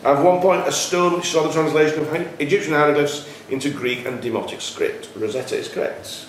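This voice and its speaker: Higher-pitched man hosting a quiz, echoey room. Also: brisk. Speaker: quiz host, question setter. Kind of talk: reading quiz questions aloud. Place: echoey indoor room at a cricket club. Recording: very echoey room, faint background noise.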